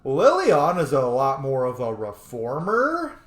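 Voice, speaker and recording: A man hosting a gaming channel with casual, sweary commentary. His medium-pitched voice swings up and down in a lively way, and the room around it echoes. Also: takes it slowly. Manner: animated, sweary.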